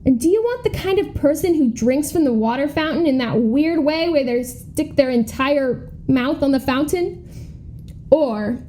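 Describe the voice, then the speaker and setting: A woman's voice: sits high, lively intonation, unhurried, dark tone, slight background noise. A young woman playing an earnest, pompous schoolgirl running for class president in a comic radio play, speechifying grandly. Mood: solemn, accusing. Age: young adult.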